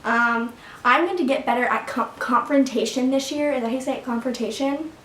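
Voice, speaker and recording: A teenage girl with a fairly high voice rambles casually to camera, full of fillers and quick laughs. She sits back from the mic in an echoey room, with a faint rumble underneath. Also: fairly bright tone, recorded from a distance. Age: teens. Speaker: vlogger. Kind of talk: casual sit-down chat to camera. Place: her room at home.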